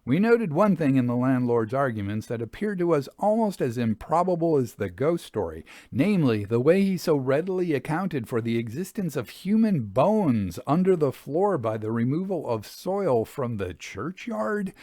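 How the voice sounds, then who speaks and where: A man narrating an old-fashioned literary story in a measured, expressive storytelling manner. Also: medium pitch, no room sound, clean studio sound. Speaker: audiobook reader. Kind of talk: scripted literary narration of a Victorian travel memoir.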